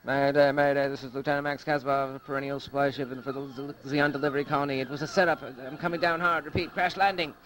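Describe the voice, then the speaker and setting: A man's voice: mid-range voice, level tone, quick pace, hardly any pauses, a bit bright, a little hiss or hum, lightly acted. A man voicing an urgent, defiant supply-ship pilot lieutenant in distress.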